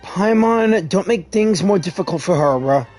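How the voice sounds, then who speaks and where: A man's voice: sits high, animated, moderate pace, darkish tone. A man live-streaming a game, casually reacting and reading dialogue aloud as he plays. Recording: faint game music behind.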